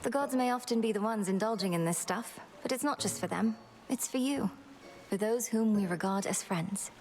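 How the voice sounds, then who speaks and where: A woman's voice: mid-range voice, moderate pace, darkish tone, soft background music, natural voice. Woman as resolute young witch princess. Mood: earnest, composed. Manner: composed, formal, resolute. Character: determined, earnest young witch princess of Hades. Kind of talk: video game voice acting.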